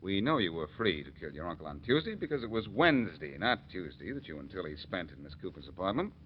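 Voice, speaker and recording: An American actor playing a confident, needling amateur detective with an emphatic delivery in a 1940s radio drama. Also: male voice, low-pitched, sing-song, fast talker. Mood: accusing, triumphant. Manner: emphatic, punchy, accusing delivery. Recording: muffled, noisy 1940s radio recording.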